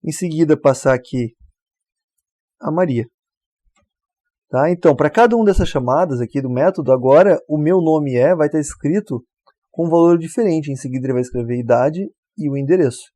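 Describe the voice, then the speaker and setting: A man's voice: on the high side, dry recording. A man walks through a coding tutorial step by step. He keeps checking in with the viewer as he goes. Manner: relaxed, explains step by step, often checks with "tá?". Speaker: programming tutor. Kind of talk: screen-recorded coding tutorial.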